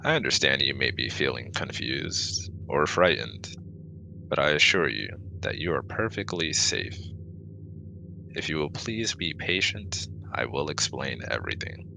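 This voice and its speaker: A man acts out an officious male alien scientist in an audio roleplay, with a rock-bottom, creaky voice. His wording is precise and formal and his patience is short, yet his intonation moves around a lot. There is clear noise in the recording. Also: extremely low pitch, animated, a little slow, exaggerated voice. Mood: formal, reassuring. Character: formal, easily exasperated male alien exobiologist. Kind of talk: scripted ASMR audio roleplay.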